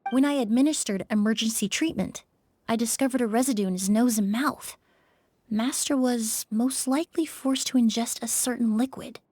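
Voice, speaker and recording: An actress voicing a composed female perfumer in a game, speaking in a calm, measured, precise way. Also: a little high, dry recording, natural voice. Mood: explaining. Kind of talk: game voice acting. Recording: dry studio recording.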